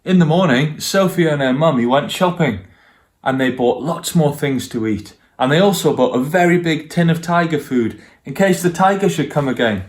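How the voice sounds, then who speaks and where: A man's voice: fairly high pitch, animated, moderate pace. A man reading stories for young children and speaking to them directly as a guest storyteller.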